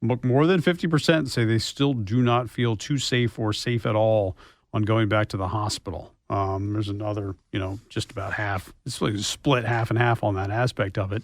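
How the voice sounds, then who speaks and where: A man's voice: fairly low pitch, fairly even intonation, moderate pace, no room sound, clean studio sound. A man hosting a radio show, interviewing in a conversational news-talk style.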